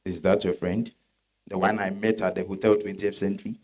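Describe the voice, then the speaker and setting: A man with a West African accent playing a married suitor, smooth and insistent, in a dramatized stage reading. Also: low voice, level tone, fairly quick, a little mellow, lightly acted. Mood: probing, jealous. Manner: persistent, questioning.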